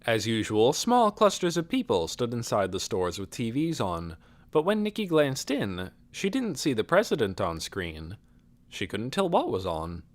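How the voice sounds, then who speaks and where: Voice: mid-range voice, huge pitch swings, unhurried. An audiobook narrator reading a novel as scripted literary narration.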